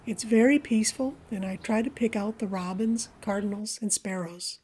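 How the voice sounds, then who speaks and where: Woman, scripted narration, warm relaxed timbre, gentle storytelling, measured delivery. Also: medium pitch, slight background noise. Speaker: presenter of a backyard birding video for a library.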